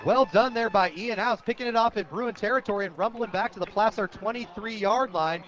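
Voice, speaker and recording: An American man calling high school football play-by-play on the radio, excitable when a big play comes. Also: a little fast, music in the background. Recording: dull, lo-fi outdoor radio broadcast.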